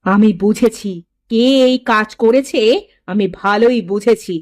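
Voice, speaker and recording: A woman playing a shrewd, resolute potter's wife in an animated moral story, expressive and assertive. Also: on the high side, unhurried, dry recording, clean studio sound, lightly acted. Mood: suspicious.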